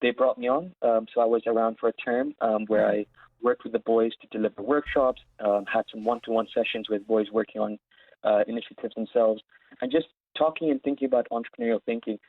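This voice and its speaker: A man's voice: medium pitch, fairly even intonation, fairly quick, no echo. A man in his twenties from Saudi Arabia, answering interview questions in an informal, explanatory way over a live call line. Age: 20s. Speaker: interview guest, founder of an education platform. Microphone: remote call line. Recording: narrow phone-like live line.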